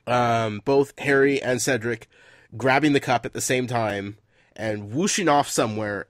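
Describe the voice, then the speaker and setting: A man's voice: medium pitch, fairly bright tone, no room sound, clean studio sound. A man hosting a casual podcast chat, stuffed up from a head cold, rambling with lots of fillers. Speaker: podcast host.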